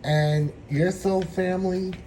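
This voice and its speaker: Slow, hoarse man giving a tarot reading. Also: sits high, fairly even intonation, dark tone, slight background noise, rough recording. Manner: meandering, reflective, slow-spoken. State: hoarse, throat trouble. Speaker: tarot reader.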